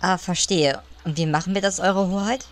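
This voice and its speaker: A woman's voice: lowish voice, fairly even intonation, moderate pace, lightly acted. A woman playing a dutiful, slightly naive servant of a queen in a parody audio drama, deferential with dry asides.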